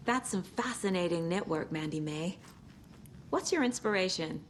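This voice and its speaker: A woman playing a level-headed investigator in a game, measured and questioning. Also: fairly low pitch, animated, slight background noise, close to an everyday voice. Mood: composed. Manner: measured, questioning, muttering to herself. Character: focused, level-headed adult female investigator.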